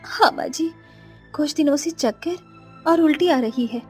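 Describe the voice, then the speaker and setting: Gentle young cartoon widow played by a woman, very high-voiced and soft. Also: measured pace, faint music behind. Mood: pleading. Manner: soft and pleading. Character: meek young widowed daughter-in-law.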